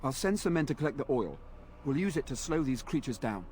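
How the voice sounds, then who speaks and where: A man's voice: medium pitch, sing-song, brisk, darkish tone, slight background noise, close to an everyday voice. A man voicing a formal, earnest knight commander. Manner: formal, courtly speech. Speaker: game character. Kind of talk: video game voice acting. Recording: game audio with faint ambience.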